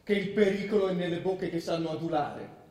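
An actor playing the exasperated grown son of an ailing ruler, impatient, stubborn and pleading, in a theatrical drama. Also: male voice, high-pitched, fairly even intonation, brisk, darkish tone, natural voice. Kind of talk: theatrical radio drama dialogue. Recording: echoey, distant mic, noisy stage recording.

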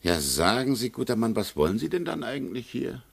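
A gravelly, condescending consul. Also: male voice, mid-range voice, big pitch swings, brisk, heavily acted. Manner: condescending, officious. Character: haughty, evasive American consul, condescending bureaucrat. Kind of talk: German radio play acting.

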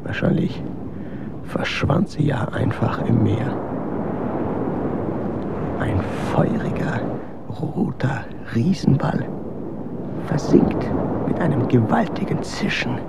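An actor in a radio drama playing an exhausted, brooding man, murmuring a halting, bitter monologue. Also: expressive. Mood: brooding, wistful. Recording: loud rumbling noise around voice.

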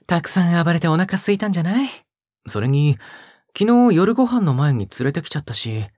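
A male actor playing a possessive young captor in an audio drama, coaxing and teasing in a soft, breathy voice as if talking to a pet. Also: a little high, no room sound, clean studio sound. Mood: cheerful, doting. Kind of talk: intimate Japanese audio drama acting.

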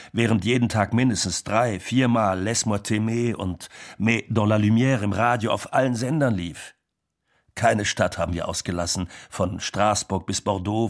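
Radio play narrator: a reminiscing music manager, lowish register, factual delivery. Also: male voice. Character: male music manager reminiscing about a singer's rise.

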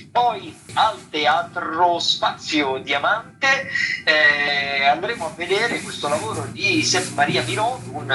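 A man's voice: a little high, bright, forceful tone, slight background noise, low-quality recording. A man hosting a radio show, talking through theatre listings in a loose, semi-improvised way, often hesitating and searching for words. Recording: radio broadcast with music underneath. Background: music.

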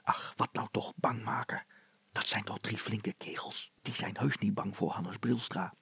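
Man as a hushed, gravelly, grumpy father. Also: fairly even intonation, a little slow, dry recording, in a whisper, full character voice. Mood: sceptical. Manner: hushed, grumbling, low-key. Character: grumpy but cautious father, older than his boy.

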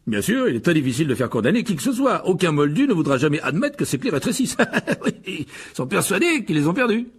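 A man voicing a sheepish, excitable middle-aged wizard father who stammers and backtracks, for an audiobook. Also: on the high side, quick pace, slight background noise, voice pushed up. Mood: amused, animated.

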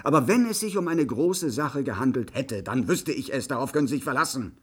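A man's voice: mid-range voice, expressive, brisk, slightly rough. An actor playing a dismissive, evasive industry spokesman in a German radio play, formal and defensive.

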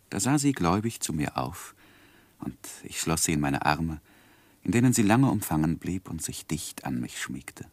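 An earnest young man in a vintage radio drama, his voice low and very expressive. He speaks tenderly, in careful literary phrasing. The recording is close and dry. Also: no room sound, heavily acted. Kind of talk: 1960s German radio drama narration and acting.

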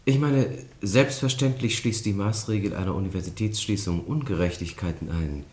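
An actor playing a clever, level-headed young student in a radio play, speaking in a measured, diplomatic way. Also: male voice, lowish voice, darkish tone, roomy sound, close to an everyday voice. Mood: measured, composed. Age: young adult. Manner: measured, deliberate. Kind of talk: German radio play acting.

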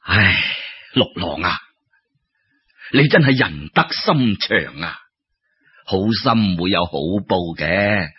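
Man acting kindly old fisherman, low and husky. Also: lively intonation, dry recording, heavily acted. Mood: moved, sighing. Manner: slow, sighing, drawn-out phrases. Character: kindly, sentimental old fisherman, grateful and devoted. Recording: dull lo-fi radio-style recording.

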